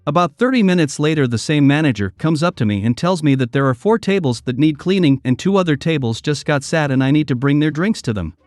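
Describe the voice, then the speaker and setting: A man's voice: a little high, expressive, fast, few pauses, darkish tone, no echo, clean studio sound. Man narrating over faint music. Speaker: narrator reading online posts. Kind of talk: read-aloud story narration.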